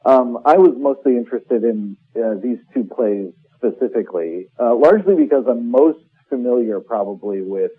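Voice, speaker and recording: A man's voice: medium pitch, lively intonation, normal speed, few pauses, breathy edge. A man giving a casual interview as a playwright, talking reflectively and often correcting himself as he goes. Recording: muffled phone-like line.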